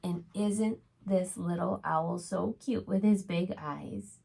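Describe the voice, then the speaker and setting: A woman reading aloud to young children as a preschool storytime host, expressive and often putting questions to her listeners. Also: lowish voice, slow, creaky, husky.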